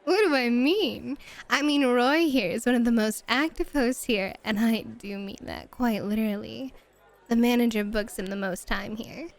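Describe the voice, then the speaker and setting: Casting: actress for flirty female club hostess in roleplay audio, higher-pitched, coy and teasing, drawn-out delivery. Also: lightly acted. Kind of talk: flirty ASMR roleplay acting.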